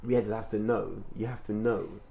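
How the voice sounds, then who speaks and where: Low-voiced man, reflective and encouraging. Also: fairly even intonation, normal speed, gravelly, dark tone. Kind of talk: informal testimonial conversation with a child.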